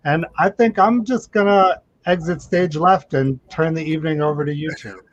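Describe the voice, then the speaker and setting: A man's voice: a little high, fairly even intonation, normal speed, dry recording, dull audio. A man speaking as moderator, introducing guests at an online event. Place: online video call.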